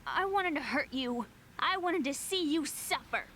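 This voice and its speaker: A soft-spoken young woman. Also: high voice, animated, unhurried, slight background noise, heavily acted. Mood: bitter. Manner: soft and hesitant, slipping into whispers. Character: shy young woman genius, wounded younger sister. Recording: game cutscene with music behind.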